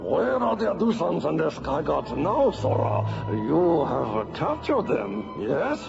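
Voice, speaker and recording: An actor playing a blustering, menacing alien warlord with bombastic, theatrical delivery in a radio drama. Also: male voice, on the high side, sing-song, vocal fry, over music, heavily acted. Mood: menacing, outraged. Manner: bombastic, drawn-out, threatening. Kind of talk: melodramatic radio drama acting. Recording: dull, reverberant hall-like radio recording.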